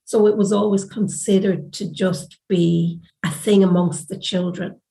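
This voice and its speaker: An Irish woman academic giving personal testimony in an interview, speaking in a reflective, deliberate way. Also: mid-range voice, fairly even intonation, vocal fry, warm tone. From Ireland.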